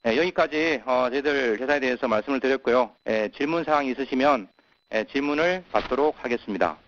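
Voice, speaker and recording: A man presenting as a company executive on an earnings call, pausing often with filler sounds. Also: mid-range voice, a bit bright. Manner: halting, many filler sounds. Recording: muffled conference call audio.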